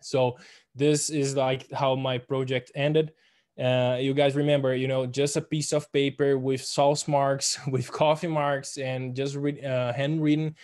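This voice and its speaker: A young man whose first language is Portuguese, giving a student presentation over a video call in a halting way with many fillers. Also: mid-range voice, flat delivery, darkish tone. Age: young adult. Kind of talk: online presentation.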